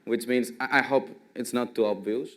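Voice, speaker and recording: A man in his thirties from Greece with a strong Greek accent, giving a casual conference talk on a dark stage, with a lot of fillers and restarts. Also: mid-range voice, fairly even intonation, normal speed. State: jet-lagged. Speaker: conference speaker.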